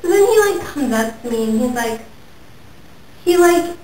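A woman's voice: a little high, animated, normal speed, darkish tone, natural voice. A young woman playing a ditzy, gossipy teen girl who is obsessed with the mall and easily offended, filling every phrase with "like," recorded from a distance in an echoey room. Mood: chatty gossip. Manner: valley-girl filler talk, says "like" constantly. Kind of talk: comic character monologue. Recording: roomy echo, mic far off, faint hiss.

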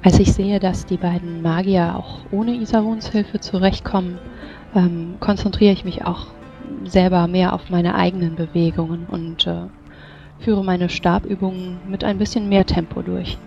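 A woman's voice: on the low side, fairly even intonation, normal speed, gravelly, warm tone. A woman narrating her character's actions in a tabletop roleplaying game. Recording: slightly dull audio, faint music behind. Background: faint music.

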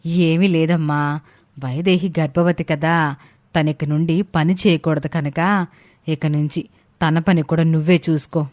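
మహిళ నటించిన నిదానమైన పెద్దావిడ, అత్తగారు. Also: female voice, sits low, steady tone, unhurried, long pauses, darkish tone, dry recording. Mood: instructing. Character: older mother-in-law, mild household authority.

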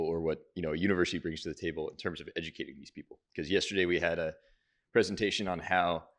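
A young man standing in a lecture hall audience, asking a long, halting question into a handheld mic, full of "like" and "um". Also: deep voice. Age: young adult. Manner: halting, many "like" and "um" fillers.

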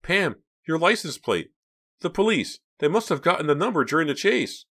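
A man voicing an excitable, breathless teenage boy in a young adult audiobook. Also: high voice, a little slow, pitched above the performer's own voice, heavily acted. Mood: worried. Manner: breathless, exclaiming. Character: excitable teenage boy, jittery and easily thrilled sidekick.

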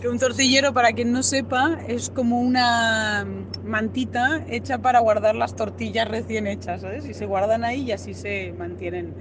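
A woman's voice: fairly high pitch, steady tone, moderate pace. A woman from Spain with a Spanish accent, a guest chatting upbeat and easygoing in a podcast interview. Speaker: guest traveler. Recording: noticeable background noise.

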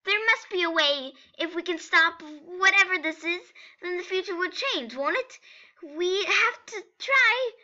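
A woman's voice: very high-pitched, lively intonation, measured pace, sharp tone, muffled recording, pitched above the performer's own voice, exaggerated voice. A woman voicing an earnest, theatrical skeleton brother in an amateur comic dub, dramatic and pleading. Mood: desperate.

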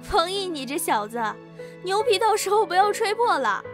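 A woman playing a cheeky, teasing high-school girl in an amateur campus audio drama. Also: very animated, moderate pace, big-room sound, music in the background.

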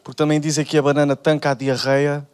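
A young man with a slightly high voice and a European Portuguese accent delivers a stand-up set on a TV studio stage. He talks into a handheld mic and slips into other voices to act out the people he quotes. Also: little pitch movement, fast, noisy background. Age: young adult. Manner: acts out quoted characters in shifted voices. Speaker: stand-up comedian. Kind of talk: stand-up routine.

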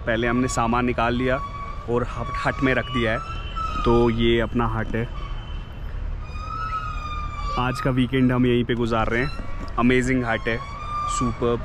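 A man's voice: medium pitch, little pitch movement, normal speed, noisy background. A man in his thirties hosting a casual travel vlog, chatty and upbeat, holding the camera at arm's length outdoors. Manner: upbeat, chatty, keeps saying amazing. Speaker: travel vlogger. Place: outdoors in mountain forest and inside a car. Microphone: handheld camera at arm's length.

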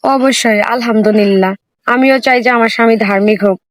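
A woman's voice: a little high, a little fast, dry recording. Young woman, pleading and plain-spoken. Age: 20s. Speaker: interview guest seeking marriage. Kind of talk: earnest on-camera interview answers.

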